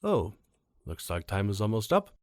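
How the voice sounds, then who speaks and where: Casting: performer as upbeat history teacher, very lively intonation, lecturing, close dry sound. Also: low voice, moderate pace, no echo. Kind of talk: fan-made anime audio drama acting.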